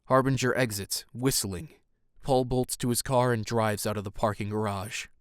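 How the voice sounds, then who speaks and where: A man's voice: mid-range voice, fairly even intonation, normal speed, natural voice. A man narrating an audio drama, reading scripted scene action in the present tense.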